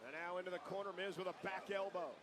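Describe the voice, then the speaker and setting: A man calling a wrestling match play by play with excited, punchy calls. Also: high-pitched, fast, a crowd in the background. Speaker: wrestling commentator. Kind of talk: play-by-play commentary. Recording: broadcast audio played under loud noise.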